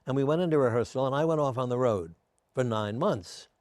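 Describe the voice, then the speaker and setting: An older man, a guest in a casual interview, telling genial, self-deprecating stories while his voice is going hoarse. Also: mid-range voice, fairly quick, a little mellow, no echo, studio quality.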